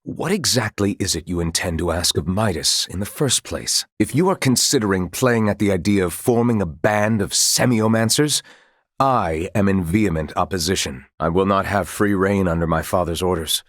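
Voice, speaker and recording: A man's voice: low voice, moderate pace, no echo, clean studio sound. A man playing a composed, proud knight commander of royal blood in a video game, speaking in formal, archaic, courtly phrases. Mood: calm, formal.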